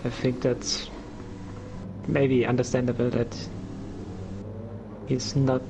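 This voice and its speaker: Gravelly, flat-voiced male co-commentator. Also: mid-range voice, background noise. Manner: measured, hedging, with fillers. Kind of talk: live race commentary.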